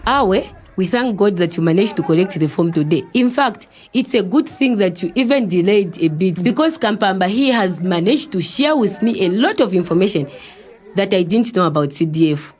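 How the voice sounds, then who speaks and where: A woman's voice: medium pitch, normal speed, lightly acted. A woman with a Zambian accent playing a chatty, inquisitive middle-aged mother in an educational audio drama, exclaiming and asking questions. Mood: warm, amused. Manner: animated, exclaiming, full of questions.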